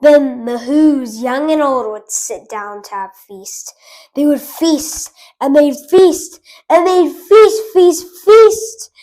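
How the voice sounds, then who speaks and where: A woman's voice: high-pitched, animated, slow, mellow. A woman hosting a storytime channel, chatting with viewers and reading a picture book aloud. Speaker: storytime host.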